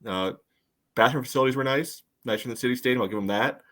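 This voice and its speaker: A man's voice: mid-range voice, fast talker, fairly bright tone. A middle-aged man chatting casually about sports as a podcast host, meandering and often saying "you know.".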